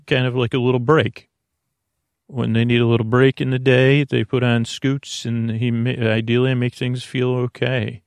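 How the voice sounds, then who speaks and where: Man, podcast host, gravelly fry, middle pitch, soothing, halting, stammering, meandering bedtime monologue. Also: no echo, clean studio sound. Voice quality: creaky. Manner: halting, hesitant, stammering with repeated false starts; lulling, soothing.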